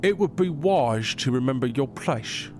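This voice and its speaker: A commanding royal advisor from an audio drama, voiced by a man. He speaks formally and with clipped authority, quick to put others in their place. A clear music bed plays under him. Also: medium pitch, animated, a little mellow, natural voice. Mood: stern, authoritative. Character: stern male royal advisor, proud and commanding.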